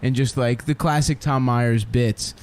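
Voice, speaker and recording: A man's voice: mid-range voice, steady tone, measured pace, darkish tone. A young man, a comedian, telling a long profane story in casual podcast chat, rambling and laughing as he goes.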